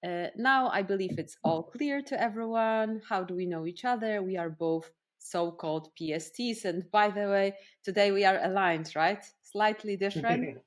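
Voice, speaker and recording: A woman's voice: mid-range voice, moderate pace, hardly any pauses. A woman with a Polish accent hosting a conversational interview in a friendly, playful way. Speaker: podcast host.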